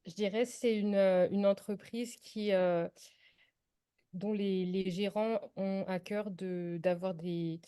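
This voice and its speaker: Smooth, even-toned, hesitant woman. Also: mid-range voice, slow, no room sound, studio quality. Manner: hesitant, searching for words. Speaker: training participant. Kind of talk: spoken answer in online training.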